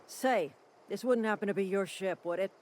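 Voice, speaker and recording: An actor playing a bumbling, officious corporate town guard in a video game, with a folksy manner that tips into apology. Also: male voice, sits high, moderate pace, darkish tone, close to an everyday voice. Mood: officious, accusing. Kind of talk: video game character acting.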